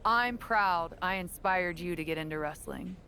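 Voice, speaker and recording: A woman playing a proud veteran wrestling champion and earnest mentor in a video game cutscene, speaking in a measured, assured way. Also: on the low side, pushed and bright, slight background noise. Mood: earnest, conciliatory.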